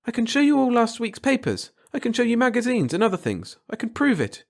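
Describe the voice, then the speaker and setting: A man's voice: extremely high pitch, wildly swooping pitch, a little fast, creaky, pitched above the performer's own voice, full character voice. A man voicing a frightened young woman with an urgent, pleading manner in a story reading. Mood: frantic.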